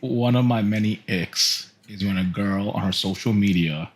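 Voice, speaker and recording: A man's voice: fairly low pitch, normal speed, a little hiss or hum. A man in his thirties co-hosting a podcast, chatting casually and opinionatedly among friends. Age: 30s. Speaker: podcast co-host.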